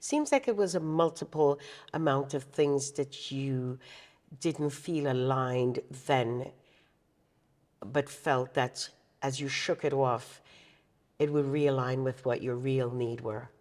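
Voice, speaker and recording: A woman hosting a talk show interview in an affirming, expressive way. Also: very deep voice, slow pace.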